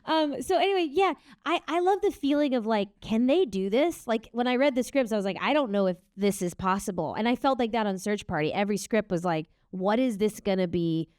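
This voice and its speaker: A woman's voice: animated, brisk, hardly any pauses, a little mellow, no echo. A woman actor chatting on a live panel, bubbly and self-deprecating, talking into a handheld mic held close. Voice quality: naturally high voice, kept lower on purpose. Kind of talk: casual panel Q&A talk. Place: live event stage.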